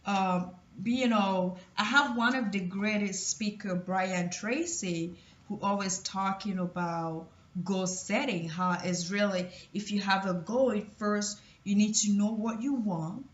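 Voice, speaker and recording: A woman from Cameroon with a Cameroonian French accent, giving a halting personal motivational talk full of restarts. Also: mid-range voice, steady tone. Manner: halting, many restarts and fillers. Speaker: motivational vlogger. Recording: roomy, distant, dull lo-fi sound.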